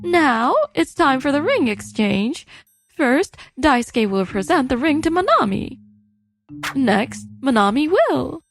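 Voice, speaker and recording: A woman's voice: high-pitched, very animated, slow, dry recording, heavily acted. A woman playing a polite, formal wedding attendant making announcements in a manga story dub. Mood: formal, announcing. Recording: music under the voice.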